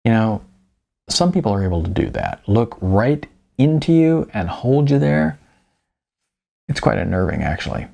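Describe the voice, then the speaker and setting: A man reading his own first-person novel aloud as an expressive, wry, conversational narrator. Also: lowish voice, normal speed, gravelly, long pauses. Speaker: author narrating own audiobook.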